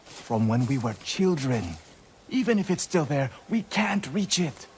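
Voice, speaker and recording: A man playing a timid, gentle young blacksmith in a video game, sounding worried and hesitant. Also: fairly high pitch, very animated, a little slow, a little hiss or hum. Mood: subdued, sad. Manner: worried, hesitant, soft-hearted. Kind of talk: video game cutscene acting. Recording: dramatic music behind the dialogue.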